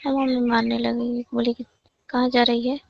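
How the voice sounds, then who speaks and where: A woman's voice: level tone, normal speed, creaky, no room sound. A young woman, chatting casually and privately over the phone. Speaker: caller. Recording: muffled low-fidelity phone call recording.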